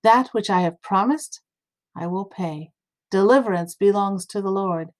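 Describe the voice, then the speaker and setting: A woman's voice: medium pitch, a little slow, natural voice. A woman voicing an earnest, distressed male prophet, fervent and solemn, in a scripture story reading.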